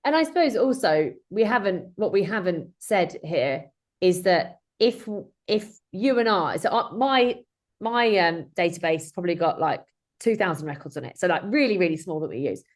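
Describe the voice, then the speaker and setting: A woman's voice: lowish voice, animated, moderate pace. A woman in her thirties talking informally as a webinar host on a video call, chatty and rambling with frequent self-corrections. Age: 30s. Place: indoor room at home or office, on a video call. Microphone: video call microphone.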